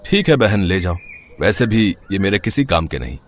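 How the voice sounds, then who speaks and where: An actor playing a kindly, poor middle-aged onion seller who talks slowly and gently in a cartoon story. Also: male voice, deep voice, sing-song, a little hiss or hum. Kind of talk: Hindi cartoon voice acting.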